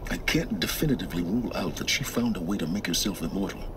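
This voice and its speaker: An actor playing a cold, secretive middle-aged male scholar of ancient ruins, measured and condescending. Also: deep voice, expressive, background noise. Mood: explaining. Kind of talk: video game cutscene acting.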